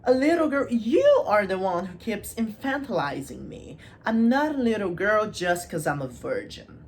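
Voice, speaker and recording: A woman's voice: medium pitch, sing-song, normal speed, a little hiss or hum, pitched above the performer's own voice. A woman voicing a defiant young storybook girl in a sassy, bold, slangy manner. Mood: indignant.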